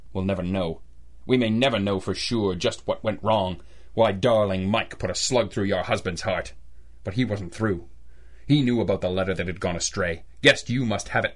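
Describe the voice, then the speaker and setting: An actor playing a stern, cynical private detective in an old-style radio drama, with clipped, wry, accusing delivery. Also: male voice, on the low side, lightly acted. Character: hard-boiled, cynical adult male private detective, stern and relentless.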